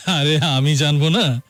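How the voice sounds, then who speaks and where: An actor playing a proud, genial middle-aged hunter and host in an audio drama, theatrical, knowing and given to chuckling. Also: male voice, a little high, fairly bright tone, heavily acted.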